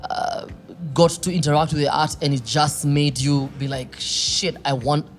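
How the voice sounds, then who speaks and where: A man's voice: a little high, steady tone, moderate pace, faint music behind. Casual Kenyan podcast host, a man. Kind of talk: casual conversational interviewing.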